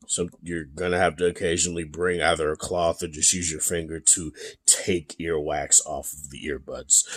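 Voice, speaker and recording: A young man with a deep, bassy voice giving a casual, chatty tech review, full of fillers and restarts. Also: fairly bright tone. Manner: laid-back, chatty, many fillers and restarts.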